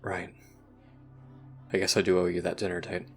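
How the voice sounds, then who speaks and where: A man playing a moody human teenager in an audio roleplay, talking in a casual, halting, slangy way. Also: deep voice, completely flat delivery, soft background music. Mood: subdued, uneasy. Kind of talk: audio roleplay acting, conversational.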